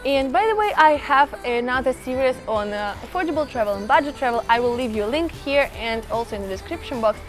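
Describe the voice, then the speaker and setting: Chatty high-voiced woman vlogging outdoors. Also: expressive, brisk, hardly any pauses, faint music behind. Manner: chatty, enthusiastic, addresses viewers as guys. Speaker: travel vlogger. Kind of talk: casual travel vlog to camera. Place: outdoors in a small town.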